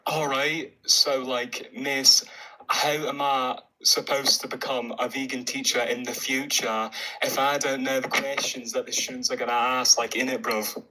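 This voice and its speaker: A man's voice: mid-range voice, flat delivery, a little slow, bright, forceful tone, low-quality recording. A man with a Scottish accent calling into a live stream, rambling in a mock-innocent way with lots of fillers and slang. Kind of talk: trolling call-in chat.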